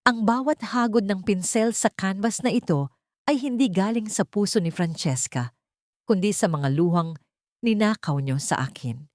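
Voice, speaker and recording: A woman's voice: medium pitch, normal speed, darkish tone, no echo, studio quality, lightly acted. A woman voicing a betrayed woman bent on revenge, speaking coldly and firmly in an audio drama story. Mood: bitter accusation. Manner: cold, firm, emphatic.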